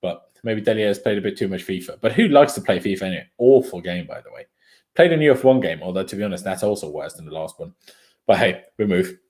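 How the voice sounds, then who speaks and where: A man's voice: low voice, lively intonation, fast talker, darkish tone. A young man from Austria whose first language is German talks through a football match live for his stream. He is chatty and opinionated, calls the play, and reads viewer comments aloud as they arrive. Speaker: fan watchalong streamer. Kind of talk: casual live match commentary and chat reading.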